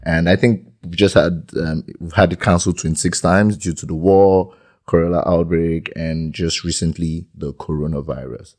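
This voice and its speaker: Very low voiced guy hosting a chat podcast, kinda hesitant, repeats himself. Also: steady tone, normal speed, darkish tone. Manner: hesitant, repeats words when starting a question. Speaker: podcast host. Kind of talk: casual interview podcast.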